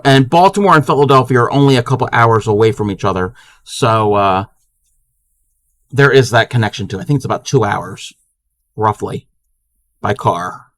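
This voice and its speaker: A man's voice: medium pitch, fairly bright tone, no room sound. A man from Maryland who chats casually on a crafting live stream, answering his chat as he works and often restarting his sentences. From Maryland, USA.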